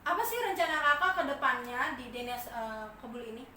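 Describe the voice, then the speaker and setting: Young female podcast host, informal and chatty, very bright voice, echoey room. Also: high voice, animated, quick pace. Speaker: podcast host interviewing a business owner. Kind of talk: casual promotional chat. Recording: echoey room, distant mic, faint noise.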